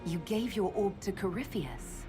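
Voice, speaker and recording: A woman's voice: mid-range voice, lively intonation, measured pace, over music, close to an everyday voice. A woman acting in a video game as an earnest, wounded elven leader, speaking in a serious, restrained, questioning way. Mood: probing. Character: female elven leader, earnest, wounded, challenging her lover.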